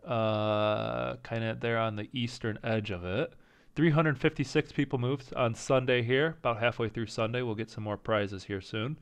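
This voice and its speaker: A man casually commenting as he plays a strategy game, thinking aloud and second-guessing his choices, with a slight rasp and an occasional cough. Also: mid-range voice, normal speed. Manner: thinking aloud, indecisive, self-talking. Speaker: gaming channel host. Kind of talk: casual let's-play commentary.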